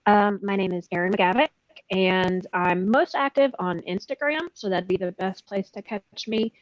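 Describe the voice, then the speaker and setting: A woman's voice: mid-range voice, moderate pace, no echo, dull audio. A woman in her thirties chatting casually as a guest on a video-call panel. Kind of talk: casual chat. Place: home room, video call.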